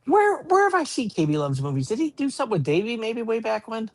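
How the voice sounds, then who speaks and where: A man's voice: very high-pitched, sing-song, fast, a little mellow, dry recording. A man co-hosting a podcast in casual, conversational chat. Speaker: podcast co-host.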